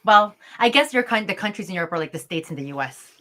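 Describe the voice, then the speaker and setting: A woman's voice: mid-range voice, expressive, fast, bright, forceful tone. A woman from Canada co-hosting a casual livestream chat, filling her speech with "like". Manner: chatty, lots of "like", laughs easily. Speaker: co-host.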